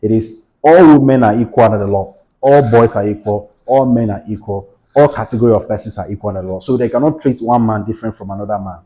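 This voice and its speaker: A man's voice: lowish voice, quick pace, few pauses, dark tone, rough recording. A man giving a patient, explanatory lesson on legal rights, repeating his points with everyday examples. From Ghana. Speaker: legal educator. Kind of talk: explanatory lecture to camera.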